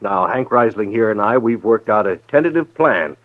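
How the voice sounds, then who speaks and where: An actor playing a fussy, flustered leader of a frontier town committee, formal in manner, in radio western style. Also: male voice, fairly low pitch, animated, moderate pace, lightly acted. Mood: officious. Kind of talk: old-time radio western acting. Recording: dull vintage radio recording.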